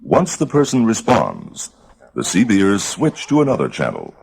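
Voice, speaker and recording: A man narrates a scripted instructional guide. His voice is low and gravelly, with a husky grain and lively rises and falls in intonation. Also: measured pace, poor audio.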